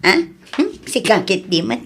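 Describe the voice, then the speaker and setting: A woman's voice: mid-range voice, big pitch swings, moderate pace, gravelly, a bit bright, breathy edge, heavily acted. A woman playing a blunt, nosy character in an Ilocano radio comedy drama, speaking with an Ilocano accent. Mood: teasing, nosy.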